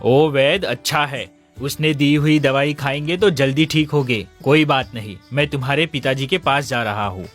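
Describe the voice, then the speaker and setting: A man's voice: mid-range voice, normal speed, few pauses, fairly bright tone, faint music behind, lightly acted. A man voicing a caring, persuasive middle-aged uncle in a cartoon story, speaking earnestly. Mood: friendly. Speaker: voice actor.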